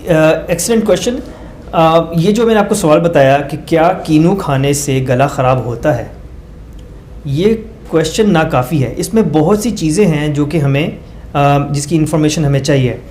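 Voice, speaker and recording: Man, doctor guest, teacherly, numbered points, frequent ums, higher pitch. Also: unhurried, darkish tone, a little hiss or hum. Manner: teacherly, walks through numbered points with everyday examples; hesitant fillers, mixes English phrases. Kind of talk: explaining research step by step.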